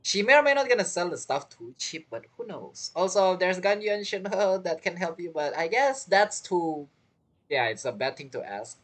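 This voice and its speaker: Lively casual game streamer woman. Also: on the low side, moderate pace. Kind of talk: casual gameplay commentary.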